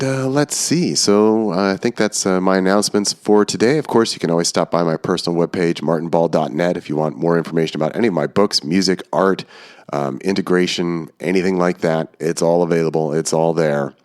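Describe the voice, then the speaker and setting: A man's voice: low-pitched, darkish tone. A man hosting a podcast in an informal, chatty way, sounding slightly congested from a cold. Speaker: podcast host. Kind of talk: casual podcast monologue and interview hosting.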